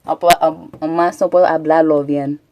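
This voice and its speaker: A woman's voice: deep voice, level tone, normal speed, a little mellow, no room sound. A chatty woman from Texas, rambling casually as a live streamer and answering her chat. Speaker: Twitch streamer.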